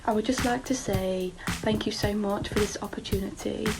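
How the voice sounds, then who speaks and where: A woman's voice: medium pitch, fairly even intonation, moderate pace, gravelly, rough recording. A woman in her twenties giving a prepared, formal self-introduction as a pageant contestant. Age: 20s. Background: loud music.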